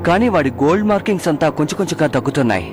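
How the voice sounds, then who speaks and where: A man's voice: fairly high pitch, sing-song, very fast. A man voicing an earnest, determined young heroic dragon rider in a cartoon dub. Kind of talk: animated series dub acting. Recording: music behind the voice.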